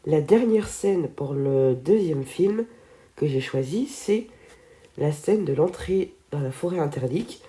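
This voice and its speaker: Teen girl host with a stuffy cold; low, mellow voice, chatty and a bit stumbling. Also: lively intonation, slow. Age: teens. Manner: chatty, trips over words and restarts. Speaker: film video co-host. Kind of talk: casual video commentary.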